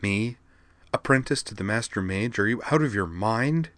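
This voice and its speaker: A man's voice: fairly low pitch, expressive, moderate pace, a bit bright, no echo, pitched above the performer's own voice. Male narrator playing bewildered schoolgirl, informal, blunt, incredulous questioning, fantasy audiobook dialogue, dry close sound.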